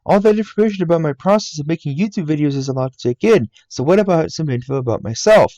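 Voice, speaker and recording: A man's voice: on the high side, lively intonation, fast, warm tone, dry recording. A man in his twenties from the United States, earnestly narrating a scripted voice-over about himself and his channel from a game room at home. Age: 20s. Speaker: YouTuber. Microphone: laptop mic.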